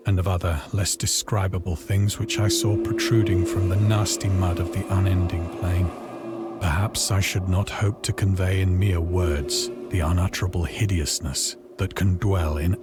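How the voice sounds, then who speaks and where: A man acts out a stranded, haunted man telling his tale of cosmic horror in a visual novel game. His voice is low and grave. He narrates in formal, measured, dramatic sentences over clearly audible music. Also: close to an everyday voice. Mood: grim dread. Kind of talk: scripted dramatic first-person horror narration. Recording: voice over prominent background music.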